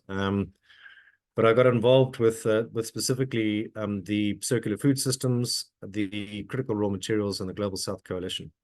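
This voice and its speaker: Middle-aged man, slightly low and hoarse from a cold, halting webinar presenter full of ums. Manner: halting, frequent ums and uhs, restarts. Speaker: webinar speaker.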